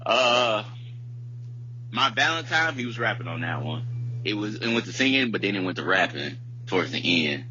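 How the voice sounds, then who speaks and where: A low-pitched man with a bright, punchy voice chats casually as a podcast co-host. He rambles in loose slang and swears freely. The recording is muffled and lo-fi, with a faint hum. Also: very animated, moderate pace. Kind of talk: casual music discussion.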